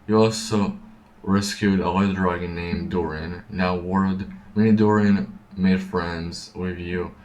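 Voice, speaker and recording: A man streaming a game, reading story text aloud haltingly and repeating words as he stumbles. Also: deep voice, fairly even intonation, a little mellow. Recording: echoey room, distant mic, game music.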